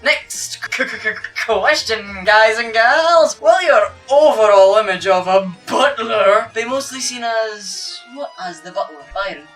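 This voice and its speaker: A woman's voice: a little high, animated, unhurried, hardly any pauses, a bit bright, far from the mic. A cheeky court jester, played by a woman, bantering theatrically. The voice sits a little away from the mic, with music playing behind it. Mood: joking, rambling. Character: cheeky court jester cracking ill-timed jokes. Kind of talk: improvised in-character Q and A skit.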